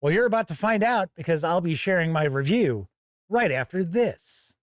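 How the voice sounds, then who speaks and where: A man hosting a gaming channel, giving a conversational review to camera in a folksy, measured way with emphatic phrasing. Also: high-pitched, expressive, no echo, clean studio sound.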